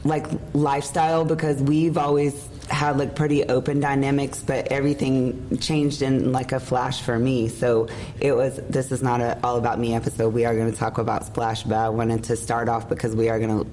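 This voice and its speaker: A middle-aged woman with a hoarse, worn voice, hosting a casual podcast and rambling openly. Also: rumbling low, level tone, moderate pace, few pauses, mellow, a little hiss or hum, rough recording. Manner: rambling, emotional, laughs often. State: hoarse, lost voice. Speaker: podcast host. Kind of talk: casual chat. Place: room at an event hotel. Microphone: shared mic.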